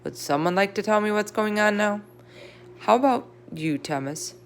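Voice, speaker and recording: A woman's voice: medium pitch, expressive, normal speed, a little hiss or hum, voice pushed up, natural voice. A woman voicing a firm but caring female schoolteacher in a children's storybook.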